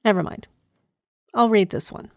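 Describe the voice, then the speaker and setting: A woman's voice: mid-range voice, moderate pace, a little mellow, dry recording, clean studio sound. A woman hosting a podcast as herself, talking informally in a hesitant, conversational, wry way. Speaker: podcast creator and host, playing herself. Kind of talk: informal podcast talk in an audio drama.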